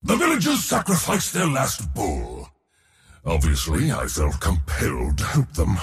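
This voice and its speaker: A boastful, arrogant god of war in theatrical video game style. The delivery is slow and grandiose, swooping dramatically from low growls to high battle cries, with pompous declamation throughout. It rings out in a long reverberant hall. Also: male voice, huge pitch swings, heavily acted. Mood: haughty storytelling.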